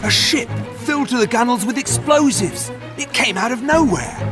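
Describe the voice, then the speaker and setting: Animated, blunt seafaring soldier. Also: male voice, extremely high pitch, moderate pace, loud music behind the voice. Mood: alarmed. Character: rough, grumbling seafaring soldier. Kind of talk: dramatic game cutscene acting.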